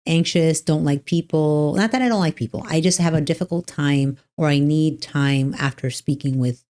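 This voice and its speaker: Low-voiced chatty woman host. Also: moderate pace, mellow. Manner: chatty, rambling, lots of "like" and restarts. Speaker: podcast host and trial attorney. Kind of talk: casual podcast conversation.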